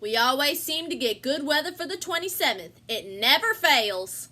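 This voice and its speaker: A woman's voice: high-pitched, expressive, normal speed, pushed and bright. A woman with an American accent playing a chatty, folksy rural farm wife in an amateur play. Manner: folksy, exclamatory country talk. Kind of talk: amateur stage-play acting.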